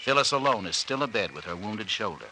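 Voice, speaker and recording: Dramatic old-time radio announcer, noisy recording. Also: male voice, lowish voice, big pitch swings, brisk. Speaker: announcer and narrator. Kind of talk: 1940s radio drama narration.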